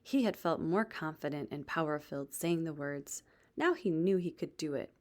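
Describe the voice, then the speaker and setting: A woman reading a children's book aloud as expressive scripted narration. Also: low-pitched, normal speed. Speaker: storybook reader.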